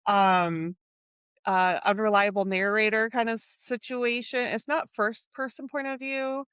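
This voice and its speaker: A woman co-hosting a casual book podcast, talking in a rambling way full of fillers and quick laughs. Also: on the high side, steady tone, fairly bright tone, clean tone, no echo, clean studio sound. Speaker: podcast co-host.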